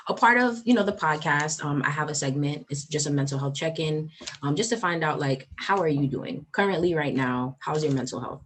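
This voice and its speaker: A woman's voice: low-pitched, animated, brisk, slight background noise. A woman in her twenties hosting a podcast in casual, upbeat chat, full of "like" and "um". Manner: chatty, upbeat, lots of "like" and "um". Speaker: podcast host.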